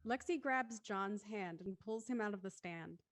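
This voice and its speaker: A woman's voice: mid-range voice, moderate pace, no room sound, clean studio sound. A woman reading stage directions as scripted narration for a drama.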